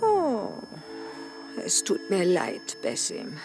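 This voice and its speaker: A woman playing a sinister, eerily tender old woman in a horror audio drama, whispering in a drawn-out, predatory way. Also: medium pitch, wildly swooping pitch, over loud music, full character voice. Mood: creepy, tender.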